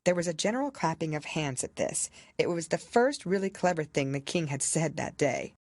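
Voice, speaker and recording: A woman narrates a storybook in a lowish voice. Her delivery is expressive and very animated, like scripted audiobook reading, captured in a dry, close recording. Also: moderate pace, no room sound.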